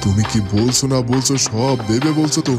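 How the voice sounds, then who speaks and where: A suspicious, worried father in a cartoon fairytale, played by a man. His voice sits at a middle pitch, stern and emphatic in questioning, with music behind it. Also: fairly quick, lightly acted. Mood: accusing.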